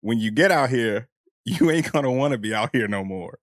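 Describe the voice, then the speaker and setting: A man's voice: medium pitch, animated, normal speed, dry recording, studio quality. An American man co-hosting a podcast, trading casual banter and mock-dramatic reading. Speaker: podcast co-host.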